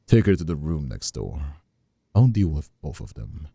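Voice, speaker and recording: A man voicing a smug, menacing, vengeful mob boss in an ASMR audio roleplay, with a slow, mocking, theatrical drawl and a gravelly, husky voice. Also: big pitch swings, no echo, studio quality, full character voice. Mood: annoyed, commanding. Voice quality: very deep, gravelly, dark, husky.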